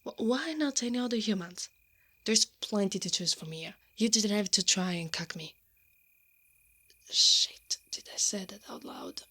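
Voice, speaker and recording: A woman's voice: mid-range voice, measured pace, pushed and bright, husky. Brash, protective woman knight, acted by a woman. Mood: incredulous, then flustered, tender. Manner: defiant and exasperated, slipping into flustered stammers. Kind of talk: ASMR roleplay acting.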